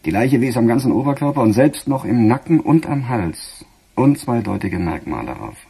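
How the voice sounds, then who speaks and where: An actor playing a weary, middle-aged police chief in a radio crime drama, musing in a husky, sardonic, halting way. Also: male voice, medium pitch, sing-song, warm tone, full character voice. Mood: musing, confiding. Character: weary, embattled male police chief, brooding and gruff. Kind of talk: naturalistic German radio crime drama acting.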